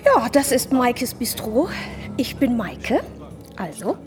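A woman's voice: fairly high pitch, big pitch swings, slow, music in the background. An actress playing a frank working woman in a naturalistic radio crime drama. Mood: curious, direct.

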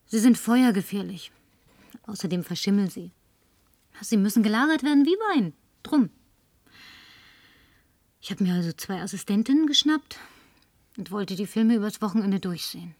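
A woman's voice: mid-range voice, expressive, moderate pace, pauses often, darkish tone, dry recording. A woman dubbing a lonely, tipsy, flirtatious woman, talking in a coaxing, whispery way that at times turns demanding. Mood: confiding. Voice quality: breathy, whispery. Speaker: advertising agency woman. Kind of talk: German film dub.

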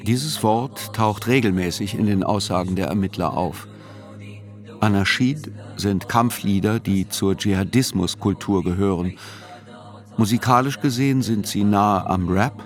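A man reads a scripted literary radio narration in a measured, sober way. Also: on the low side, soft background music.

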